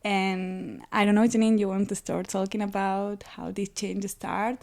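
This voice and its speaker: A woman's voice: medium pitch, level tone, measured pace, gravelly, a little mellow. A woman in her twenties from Spain, speaking English with a Spanish accent and hesitating as she searches for words. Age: 20s. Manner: hesitant, repeats words while searching for them. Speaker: podcast co-host.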